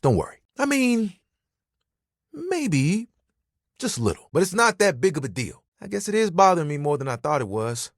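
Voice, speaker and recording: Casting: insecure, friendly towel character for a video game; very swooping, theatrical delivery, slightly husky, hesitant and heartfelt. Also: male voice, high-pitched, normal speed, no room sound, clean studio sound, heavily acted. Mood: flustered, defensive. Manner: casual, earnest, hesitant, theatrically expressive. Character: living towel, easygoing, sensitive, insecure young male buddy.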